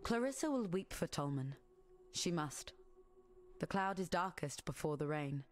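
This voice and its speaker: A stern, low-voiced warrior woman. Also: animated, faint music behind. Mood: grave, resolute. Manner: solemn, measured, formal. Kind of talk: scripted video game character dialogue.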